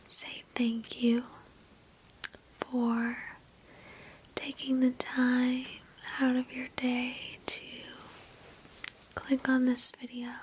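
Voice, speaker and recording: A woman whispers a guided meditation in ASMR style, softly and breathily, with a gentle, soothing, reassuring manner. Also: high-pitched, a little hiss or hum, rough recording. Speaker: ASMR host guiding a meditation. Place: indoors, sitting on a bed.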